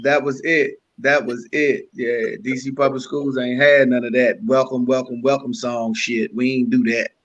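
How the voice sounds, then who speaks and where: A man's voice: medium pitch, flat delivery, moderate pace, few pauses, muffled recording. A middle-aged or older man with a Washington DC American accent, a comedian guest joking casually in slangy, wisecracking talk. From Washington DC, United States.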